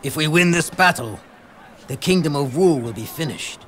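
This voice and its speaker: Game role: calculating adviser, sharp voice, widely varied pitch, slight noise. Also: male voice, animated, moderate pace. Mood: cool confidence. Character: calculating male strategist advising his lord. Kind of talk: dramatic video game cutscene acting.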